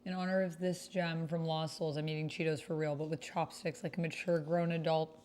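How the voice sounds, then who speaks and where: A woman's voice: sits low, level tone, brisk, darkish tone, a little hiss or hum. A woman streamer chatting casually and thinking aloud as she plays and reads out a text-based roleplaying game. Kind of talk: casual livestream chat with typed roleplay read aloud.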